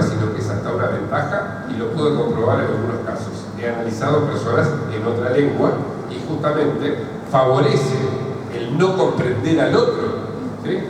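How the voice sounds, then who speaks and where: A man with an Argentine accent giving a psychoanalysis seminar, explaining points and checking in with the audience. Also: medium pitch. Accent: Argentine Spanish. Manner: explanatory, keeps asking "¿sí?" and "¿está?" to check in. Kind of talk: seminar lecture answering a question. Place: large university lecture hall. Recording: echoey hall from far off, noisy.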